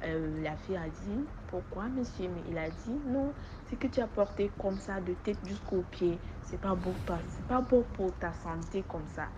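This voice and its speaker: A woman from Togo with a West African accent, speaking French as an additional language and giving an informal testimony in an animated storytelling way. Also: fairly low pitch, normal speed, lo-fi sound, background noise. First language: not French. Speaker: testimony giver. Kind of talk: informal spoken personal testimony.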